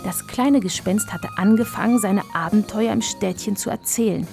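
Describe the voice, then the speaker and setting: A woman's voice: medium pitch, moderate pace, creaky, over music. A woman narrator reading scripted storybook narration for a radio play.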